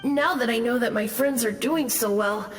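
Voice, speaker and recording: An actor voicing a gentle, grateful young male ghost in a video game, speaking softly and slowly. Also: very high voice, faint music behind. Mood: wistful. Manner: soft, slow, gentle. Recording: ghostly long reverb.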